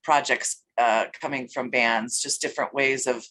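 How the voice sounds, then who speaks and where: A woman's voice: very deep voice, steady tone, moderate pace, fairly bright tone, rough recording. A woman giving casual answers as an interview guest. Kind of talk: casual chat.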